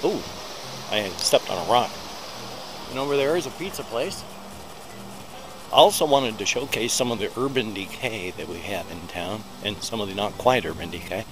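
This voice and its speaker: A man's voice: on the low side, lively intonation, normal speed, pauses often, music in the background. A middle-aged American man chatting as he walks through a small town beside a busy road, holding a small recorder close to his mouth, rambling and poking fun at himself. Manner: chatty, rambling, self-deprecating narration while walking. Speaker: vlogger. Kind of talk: casual walking vlog. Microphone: small handheld recorder held close to the mouth. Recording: outdoor traffic noise. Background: loud passing traffic.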